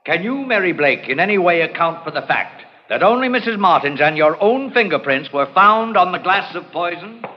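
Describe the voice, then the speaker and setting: A man's voice: sits high, lively intonation, normal speed, few pauses. An actor playing a stern, accusing male prosecutor with formal, theatrical oratory in a 1940s radio drama. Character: stern, accusing courtroom prosecutor, grown man. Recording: muffled old radio recording.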